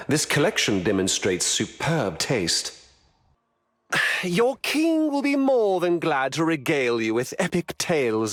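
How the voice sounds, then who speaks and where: A man's voice: fairly high pitch, a bit bright, heavily acted. An actor playing a vain, mischievous god-king in a video game, theatrical, pompous and full of himself. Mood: smug. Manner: pompous, theatrical, self-aggrandizing.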